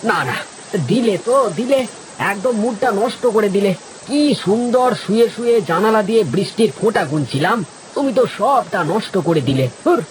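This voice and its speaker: A woman's voice: on the high side, lively intonation, moderate pace, a little hiss or hum, natural voice, low-quality recording. Woman acting a lazy, grumbling village husband. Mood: annoyed. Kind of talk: animated moral-story cartoon dialogue.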